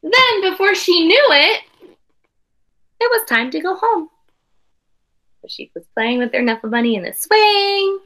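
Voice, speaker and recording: A woman's voice: extremely high pitch, very animated, unhurried, pauses often, pushed and bright. A woman reading a picture book aloud to young children like a teacher, expressively, with explanatory asides and questions.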